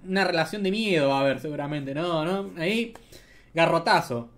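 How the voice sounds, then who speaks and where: A young man with an Argentine accent talks through political news in a loose, sarcastic way, tossing in tag questions as he goes. His voice sits a little high for a man. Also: lively intonation, a little fast, a bit bright. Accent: Argentine Spanish. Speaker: political commentary host. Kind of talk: casual political commentary over clips.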